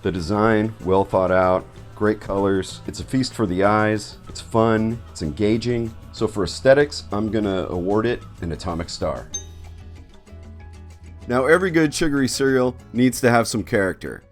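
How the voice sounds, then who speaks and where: Low-voiced man narrating over music. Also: expressive, normal speed. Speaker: host, artist. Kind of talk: scripted video narration.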